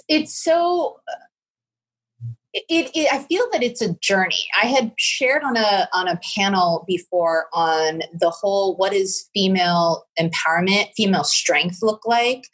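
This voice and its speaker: A woman's voice: mid-range voice, a bit bright, rough recording. A middle-aged woman interviewing a guest, speaking reflectively with frequent restarts. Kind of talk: conversational interview.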